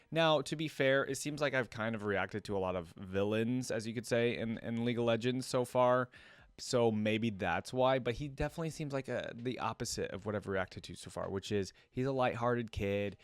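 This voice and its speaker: A man's voice: medium pitch, smooth, no echo. A man hosting a reaction video with casual commentary, informal and thinking aloud as he goes.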